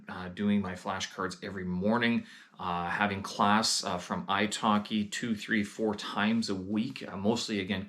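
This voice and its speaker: A man speaks in a slightly low voice as he hosts his own vlog. His delivery is casual and unscripted, full of "uh" fillers and small restarts as he works out his thoughts. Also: a bit bright. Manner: hesitant, many "uh" fillers and restarts. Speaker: vlog host. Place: lives in Beijing.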